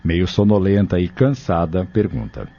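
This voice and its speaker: Male narrator, Brazilian, for scripted audio drama: mellow, lowish voice, slightly dull recording. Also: big pitch swings, normal speed. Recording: dull recording with faint music.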